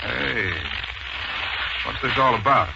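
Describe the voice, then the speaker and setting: An actor playing an earnest, devoted young mill heir and romantic lead in a 1940s radio drama. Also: male voice, mid-range voice, takes it slowly, gravelly. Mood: frank, steady. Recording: muffled hissy 1940s radio broadcast.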